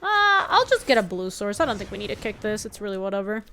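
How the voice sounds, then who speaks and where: A woman's voice: mid-range voice, big pitch swings, bright, forceful tone, slight background noise. A young woman from Ecuador, a native Spanish speaker, chatting casually as she streams a card game and answers her chat. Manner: chatty, reads chat aloud, quick reactions and laughs. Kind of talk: casual live-stream gameplay commentary.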